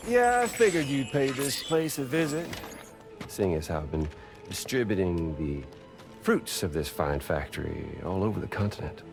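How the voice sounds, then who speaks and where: A man's voice: fairly low pitch, very animated, moderate pace, over music. An actor playing a cruel, theatrical male villain who drawls and mocks in a video game cutscene. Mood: mocking. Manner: drawling, mocking, sing-song teasing. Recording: game cutscene with loud score.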